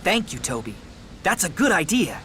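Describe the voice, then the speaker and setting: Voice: medium pitch, sing-song, moderate pace, pushed and bright, slight background noise. An actor voicing a cheeky, eager young male steam engine in a children's cartoon, earnest and exclamatory. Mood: earnest, hurried. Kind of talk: children's cartoon character acting.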